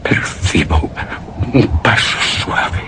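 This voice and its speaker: An actor playing a haunted, anguished husband who narrates a gothic horror tale in a radio drama, with heavy dramatic pauses. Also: male voice, noisy background. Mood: fearful, bewildered. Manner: slow, brooding, dramatic delivery with heavy pauses.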